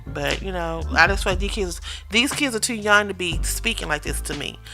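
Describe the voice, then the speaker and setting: A woman's voice: lowish voice, fairly quick, bright, forceful tone, faint music behind. A woman from East Texas with an East Texas accent, co-hosting a casual podcast chat and acting out voices when she retells things. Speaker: podcast co-host.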